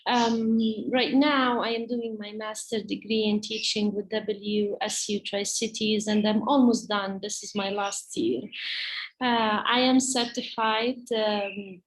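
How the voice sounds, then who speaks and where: A woman whose first language is Arabic speaks with an Arabic accent as a teacher presenting to parents, in a halting, hesitant way. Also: medium pitch, level tone, poor audio. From Palestine.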